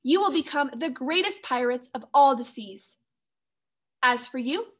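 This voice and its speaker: A woman's voice: high voice, animated, a little slow, a bit bright, exaggerated voice. A woman reading a children's storybook, voicing a proud, loving and jovial pirate father.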